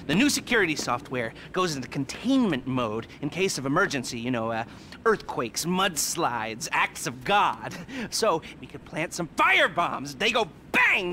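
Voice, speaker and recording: A middle-aged criminal planner in a video game. He is nervy and sarcastic, explaining in excitable, halting bursts. His voice is sharp and bright, with faint noise behind it. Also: a little high. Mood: wry, hesitant, then gleefully excited. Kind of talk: video game cutscene acting.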